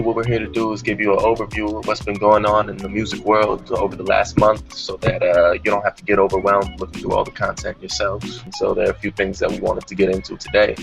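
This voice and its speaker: Low male voice, creaky, little inflection, casual host, music in background. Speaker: host of a music discussion show. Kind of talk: casual panel discussion. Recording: dull audio with music behind.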